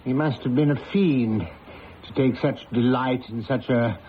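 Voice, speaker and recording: An actor playing a polite, cautious village house agent, speaking in a courteous, hesitant, foreboding way in an old-time radio drama. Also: male voice, medium pitch, a little slow, lightly acted. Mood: uneasy, ominous. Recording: dull, noisy old radio recording.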